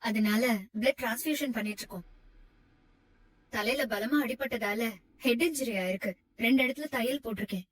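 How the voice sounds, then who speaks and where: An actress in a TV serial playing a calm woman doctor who reassures a worried family. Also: fairly high pitch, a little fast, long pauses, husky, poor audio. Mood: reassuring. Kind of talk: TV serial acting.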